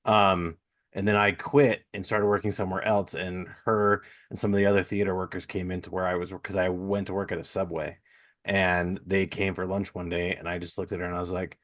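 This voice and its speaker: Low-voiced middle-aged male podcast host. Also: little pitch movement, brisk, a bit bright. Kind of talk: casual interview chat.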